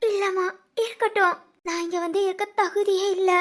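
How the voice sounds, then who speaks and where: A woman's voice: very high voice, steady tone, normal speed. A woman playing a remorseful middle-aged mother in a family audio drama, tearful and apologetic. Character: remorseful, self-abasing middle-aged mother and estranged wife.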